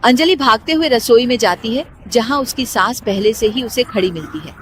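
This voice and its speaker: High-pitched woman narrating a story. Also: animated, moderate pace, a little hiss or hum. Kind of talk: scripted narration of a cartoon moral story.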